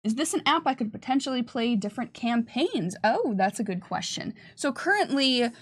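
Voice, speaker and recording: Creaky-voiced woman chatting on a livestream. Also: fairly low pitch. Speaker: game developer and livestream presenter. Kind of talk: casual livestream Q&A chat.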